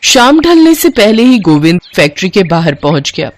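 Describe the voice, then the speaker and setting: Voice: medium pitch, big pitch swings, moderate pace, no echo. A narrator telling a moral story in a scripted storytelling style.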